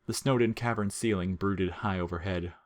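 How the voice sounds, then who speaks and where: A man with a low-pitched voice narrates a piece of fiction. He reads from a script in the manner of an audiobook storyteller. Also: normal speed.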